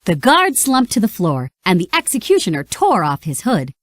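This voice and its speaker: A woman narrating a read-along storybook in an animated, expressive way. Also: medium pitch, moderate pace, no echo, clean studio sound.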